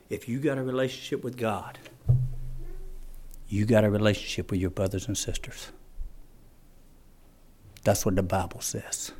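A man preaching a fervent, folksy evangelical sermon, swinging between shouts and hushed appeals. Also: fairly low pitch. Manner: folksy, plain-spoken grammar with rhetorical questions.

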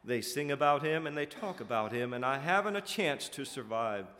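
Idle demon full of excuses male storyteller, complaining, varied pitch, echoing hall. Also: expressive, moderate pace, pushed and bright. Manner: lolling, grumbling. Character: lazy, bored old demon grumbling excuses. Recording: echoey hall with long reverb.

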